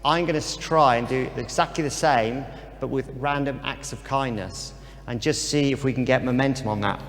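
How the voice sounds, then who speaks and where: A man giving an informal faith talk, relaxed and earnest, with frequent ums. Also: mid-range voice, moderate pace. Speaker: speaker at a church talk. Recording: long hall reverb.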